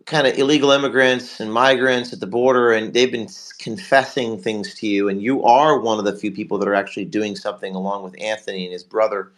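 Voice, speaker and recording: A male host of a live online talk show, hoarse from a cold, talking in a rapid, rambling way as he promotes sponsors and questions guests. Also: on the low side, fairly even intonation, a bit bright, poor audio.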